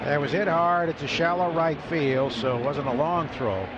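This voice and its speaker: A man's voice: fairly high pitch, normal speed. An American man giving color commentary and analysis during a radio baseball broadcast. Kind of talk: radio sports analysis between calls. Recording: dull radio broadcast with loud background noise.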